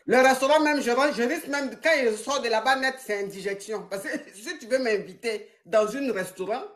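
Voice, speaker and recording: A woman's voice: on the high side, normal speed. A woman, hosting a call-in advice stream and teasing callers with frequent laughter. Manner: mocking, laughs often, animated. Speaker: live-stream advice coach taking calls.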